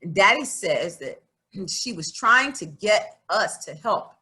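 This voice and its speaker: Woman reading as a stubborn, lively farm girl. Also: medium pitch, slow, heavily acted. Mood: confiding, earnest. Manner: plain-spoken, folksy, confiding. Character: stubborn, earnest farm girl of about twelve narrating her life. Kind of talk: children's storybook narration.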